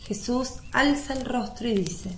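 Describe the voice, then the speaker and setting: Female radio drama narrator, scripted storytelling, fairly high voice, roomy recording. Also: a little slow. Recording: roomy echo, faint background noise.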